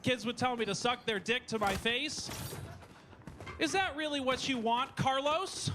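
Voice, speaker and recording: A man doing a stand-up set on a club stage, with a high nasal voice and an awkward deadpan delivery. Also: noisy background. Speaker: stand-up comedian. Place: comedy club stage with live audience.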